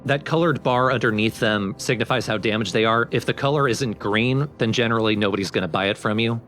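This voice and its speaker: A man's voice: fairly low pitch, fairly quick, fairly bright tone, soft background music. A man giving casual, explanatory gameplay commentary in an informal way. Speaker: let's play host and player.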